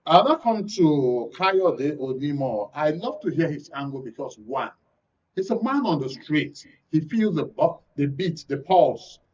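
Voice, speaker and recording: A man's voice: a little high, big pitch swings, a little slow, darkish tone. A man with a Nigerian accent hosting a political discussion, putting questions to his guests. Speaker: host. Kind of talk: moderating a political radio and TV discussion. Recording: dull lo-fi sound, distant mic.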